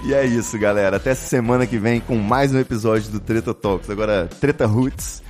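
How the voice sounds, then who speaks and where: A man's voice: on the low side, a little fast, a little mellow. A Brazilian man hosting a podcast in casual, slangy chat, joking and teasing as he talks. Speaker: podcast host.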